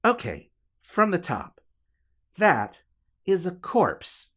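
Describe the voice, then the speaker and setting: A man's voice: sits high, huge pitch swings, slow, fairly bright tone, voice pushed up, full character voice. A man voicing a panicky, incredulous man in an audiobook, exasperated and questioning. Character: Jonah, panicky, incredulous young man arguing with the devil.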